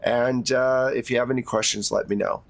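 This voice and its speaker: A man playing a weary school principal in their sixties, frank and gently exasperated, talking haltingly in a tabletop roleplay. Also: medium pitch, fairly even intonation, creaky, no echo, lightly acted. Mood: polite. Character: weary sixty-two-year-old school principal nearing retirement, frank.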